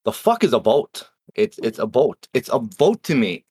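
A man's voice: medium pitch, very animated, moderate pace, darkish tone, husky, no echo, studio quality. A man from Nova Scotia with a Canadian accent, chatting casually and swearing freely as a cast member of a game podcast. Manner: laid-back, swears freely, tangents. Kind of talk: casual chat.

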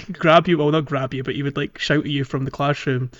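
A man's voice: on the high side, fairly even intonation, normal speed, a bit bright. A British man co-hosting a podcast, chatting casually about games in a loose, rambling way. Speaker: podcast host. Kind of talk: casual game discussion and chat. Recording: slightly dull, lo-fi audio.

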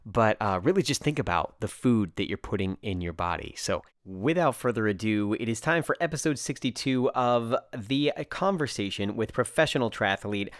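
A low-voiced American man hosting a podcast, talking in a chatty, enthusiastic way and wandering into side stories. The sound is dry and close, with no room around it. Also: expressive, moderate pace, few pauses, no room sound. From United States. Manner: enthusiastic, chatty, fillers and digressions. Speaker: podcast host. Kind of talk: casual podcast chat.

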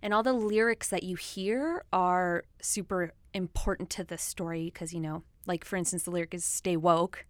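Woman, grew up speaking Swahili, outspoken, low-pitched, dry close sound, casual film chat. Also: fairly even intonation, normal speed, hardly any pauses, dry recording. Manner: outspoken, talks at length with fillers.

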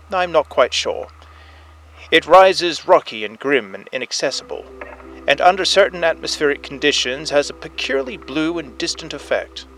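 Old phonograph effect, man acting as earnest male police inspector, formal measured storybook narration, mid-pitched, music behind. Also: a bit bright, rough recording. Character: earnest head police inspector recounting strange events. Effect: old phonograph recording. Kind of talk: dramatic first-person storybook narration.

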